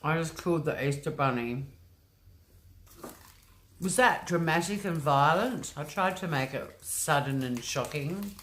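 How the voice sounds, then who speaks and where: Woman, very deep voice, chatty livestream host, rambling, lots of ums and likes, home computer mic. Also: expressive, unhurried, fairly bright tone, slight background noise. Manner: chatty, rambling, often says um and like. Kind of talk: casual livestream chat reading comments.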